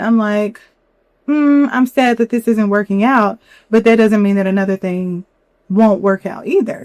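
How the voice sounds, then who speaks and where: A young woman hosting a solo podcast, a little hoarse from a cold, talking candidly with lots of "you know". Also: mid-range voice, a little mellow, no echo. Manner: candid, chatty, many fillers and "you know". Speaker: podcast host. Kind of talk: reflective solo podcast talk.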